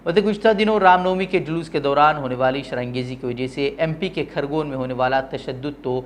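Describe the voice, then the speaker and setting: A man's voice: a little high, a little fast, a little hiss or hum. A man presenting opinionated news with formal, literary diction. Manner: formal Urdu-heavy diction.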